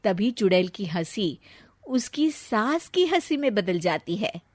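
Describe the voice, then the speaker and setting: A woman's voice: mid-range voice, sing-song, slow pace, no room sound, clean studio sound. A woman narrating a scripted family story as the storyteller.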